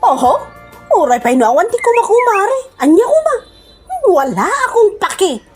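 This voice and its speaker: A woman's voice: extremely high pitch, big pitch swings, normal speed, faint music behind. An actress with an Ilocano accent playing a brash, scornful woman in a comedy radio drama, snapping melodramatically. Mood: huffy. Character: brash, scornful woman quitting her job for politics.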